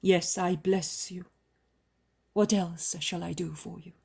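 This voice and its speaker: A woman reading the lines of a calm, commanding male holy healer in a solemn storybook voice. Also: lowish voice, slow pace, vocal fry, slightly rough, heavily acted.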